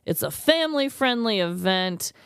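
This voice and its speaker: A woman's voice: mid-range voice, animated, moderate pace, vocal fry, a bit bright, clean tone, dry recording, studio quality. A woman co-hosting a podcast in casual comedic banter, playful and given to exaggerated exclamations. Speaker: podcast co-host.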